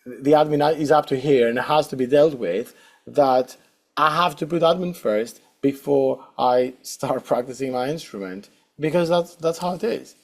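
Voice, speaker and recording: A man's voice: medium pitch, moderate pace. A middle-aged man with a Greek accent, answering interview questions hesitantly with many fillers and restarts. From Greece. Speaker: guest saxophonist.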